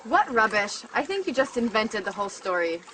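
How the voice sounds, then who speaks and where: Woman playing scornful young sceptic, high pitch, curt and dismissive, slightly noisy drama recording. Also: very animated, a little fast, fairly bright tone. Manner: blunt, dismissive. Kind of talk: scripted drama for English learners.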